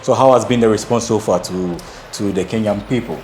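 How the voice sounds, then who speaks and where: A man's voice: lowish voice, fairly quick, darkish tone, a little hiss or hum. A man from Ghana hosting an interview in a vehicle showroom, asking questions with a lapel mic. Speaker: host. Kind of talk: interview and voice-over intro. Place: showroom with electric bikes.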